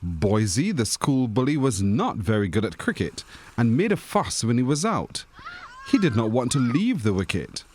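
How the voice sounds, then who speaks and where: A man's voice: medium pitch, very animated, normal speed, a little mellow. A man reading a children's storybook expressively, as a narrator who acts out the characters. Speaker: story reader.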